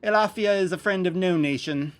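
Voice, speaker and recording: A man's voice: high-pitched, fairly quick, natural voice. A man voicing a vain, boastful knight in an amateur fantasy story reading, with haughty, formal speech. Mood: airy, smug. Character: pompous, boastful male knight, theatrical and vain.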